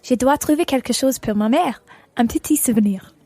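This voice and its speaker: A woman's voice: high voice, normal speed, warm tone, slightly rough, no room sound. A woman playing a polite, agreeable holidaymaker in an educational radio play. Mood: polite, pleased. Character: polite, agreeable female holidaymaker dining with new friends.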